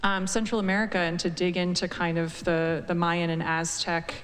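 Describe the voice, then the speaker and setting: A woman's voice: lowish voice, flat delivery, normal speed. An eager, enthusiastic woman author answering on a live panel. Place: event hall. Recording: reverberant hall, faint noise.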